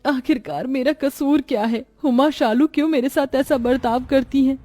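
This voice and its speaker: A woman's voice: sits high, moderate pace, mellow, lightly acted. A woman playing emotional women of a troubled family in a moral story, with emphatic, melodramatic delivery. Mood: hurt, pleading. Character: dramatic young and motherly women of a troubled family.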